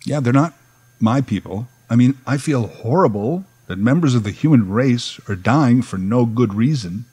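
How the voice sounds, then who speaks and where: A man's voice: mid-range voice, expressive, moderate pace, dark tone, husky, no room sound. A middle-aged man, giving a sardonic, opinionated podcast monologue. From Canada. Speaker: podcast host.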